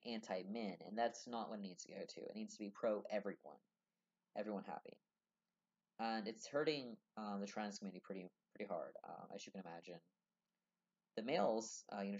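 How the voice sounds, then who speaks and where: Young male vlogger, low-ish voice, earnest and rambling, muffled webcam sound. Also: a little mellow. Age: young adult. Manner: earnest, rambling, many fillers and restarts. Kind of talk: casual talk to camera. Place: small room indoors. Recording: dull lo-fi webcam audio.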